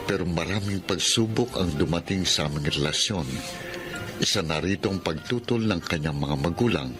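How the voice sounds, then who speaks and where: Dramatic music runs under a lovestruck Filipino man. He has a fairly low voice and speaks earnestly, as a vulnerable radio-drama sweetheart. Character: Tobal, lovestruck, pleading man rejected by his sweetheart.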